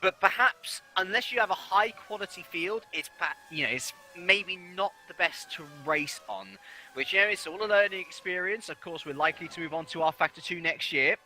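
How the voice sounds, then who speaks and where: Bright, high-voiced male race commentator. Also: expressive, normal speed, faint music behind, poor audio. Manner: fluent, analytical, reads out standings. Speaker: sim racing commentator.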